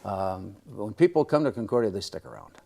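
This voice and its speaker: Older man, Midwestern American accent, low voice, broadcaster chatting in an interview, modest, measured, wordy. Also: animated, vocal fry, dark tone. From small-town upper Midwest, United States. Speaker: radio play-by-play broadcaster, interview guest. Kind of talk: casual interview chat.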